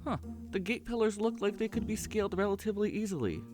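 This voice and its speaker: A man's voice: high-pitched, fast, darkish tone, faint music behind, pitched above the performer's own voice, lightly acted. A man voicing an eager, easygoing young adventurer with a casual manner, in a cheesy horror audio drama. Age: young adult.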